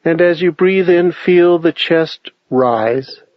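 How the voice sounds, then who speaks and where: Man, slightly high-pitched, very unhurried meditation guide, measured phrases, long pauses, dry dull recording. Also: no room sound. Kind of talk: guided meditation, calm instruction.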